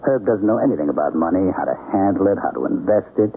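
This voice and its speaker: An actor playing a serene, mysteriously knowing man in a 1970s radio drama, speaking in insistent, deliberate short phrases. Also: low-pitched, lively intonation, slight background noise. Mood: gently insistent. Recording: dull lo-fi old radio broadcast.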